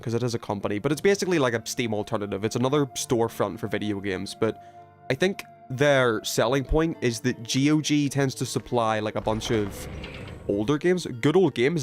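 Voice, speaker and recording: A man hosting a video game livestream, rambling and thinking aloud as he chats casually with viewers. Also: mid-range voice. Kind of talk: casual gaming stream chat, reading viewer messages.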